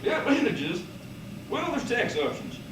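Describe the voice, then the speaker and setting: A man's voice: very high-pitched, very animated, unhurried. A man giving an informal, bantering student presentation in a playful, teasing manner. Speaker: student presenter in a class puppet show. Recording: echoey room, distant mic, noisy.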